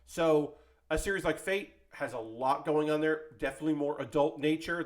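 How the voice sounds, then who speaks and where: Male host, slightly high voice, off-the-cuff commentary, conversational and meandering, self-correcting. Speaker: host of an anime club video show. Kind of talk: casual unscripted commentary. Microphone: close microphone.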